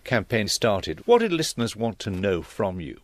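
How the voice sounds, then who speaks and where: A man puts questions as a radio interviewer. His voice is low but very animated in pitch. The phrasing is conversational. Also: normal speed, dry recording.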